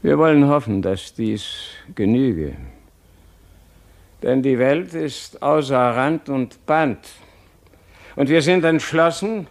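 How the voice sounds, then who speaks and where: A man's voice: mid-range voice, animated, slow, pauses often. An actor playing a stern, pious, authoritarian king and father in a classical radio drama, with a solemn, declamatory and commanding manner. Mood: grim resolve.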